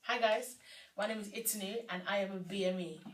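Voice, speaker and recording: A woman's voice: medium pitch, fairly even intonation, brisk, a bit bright. A woman, a student sharing her story in a hesitant, chatty way. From London. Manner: hesitant, chatty, full of likes and ums. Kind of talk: casual testimonial. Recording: echoey room, distant mic.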